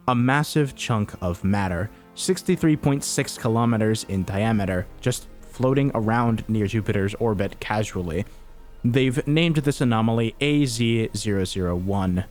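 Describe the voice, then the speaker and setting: A man narrating a scripted explainer as a video essayist, telling the story in a measured way with wry, informal asides. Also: medium pitch, a little mellow. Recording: music bed behind the voice.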